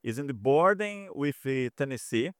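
A man from Brazil with a strong Brazilian Portuguese accent, answering interview questions in halting English with frequent pauses. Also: mid-range voice, animated, no echo, clean studio sound. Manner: halting, searching for words with frequent "uh". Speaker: president of a lifestyle health center.

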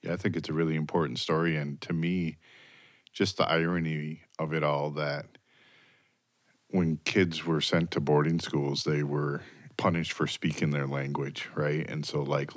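Man, radio host, very low voice, dry close sound, earnest and steady. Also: no room sound. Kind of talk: radio documentary narration and discussion.

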